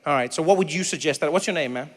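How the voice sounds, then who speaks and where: A middle-aged man from Africa, doing animated stand-up comedy and crowd work, breaking into shouted impressions and teasing banter. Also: a little high, rapid-fire. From South Africa. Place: stage in a large theater before an audience. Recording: live theater with long hall reverb and faint room noise.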